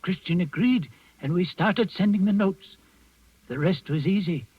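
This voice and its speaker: An actor playing a wry, loyal old butler who tells a secret resistance story in 1940s radio drama style. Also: male voice, sits high, measured pace, breathy edge, no room sound. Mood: confiding. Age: older. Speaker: storyteller and narrator of the tale. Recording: muffled 1940s radio recording, music underneath.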